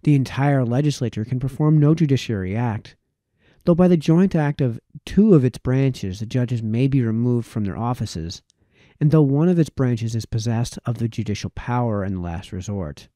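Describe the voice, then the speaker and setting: A man reads a formal, old-fashioned text aloud in a very warm, dark, relaxed voice. His pitch moves expressively as he shapes long sentences with deliberate emphasis. The sound is close and dry. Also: no room sound. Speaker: audiobook reader.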